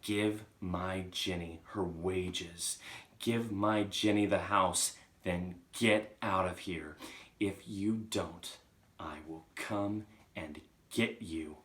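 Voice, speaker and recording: A man voicing a menacing male ghost with slow, deliberate, drawn-out commands in a storybook reading. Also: deep voice, fairly even intonation, pushed and bright. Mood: menacing, commanding.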